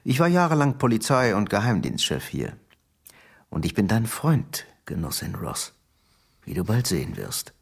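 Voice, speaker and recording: An actor playing a middle-aged male Soviet secret police chief in a radio drama, genial and persuasive, confiding with quiet menace. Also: fairly low pitch, huge pitch swings, moderate pace, vocal fry, husky, full character voice. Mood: genial, assured.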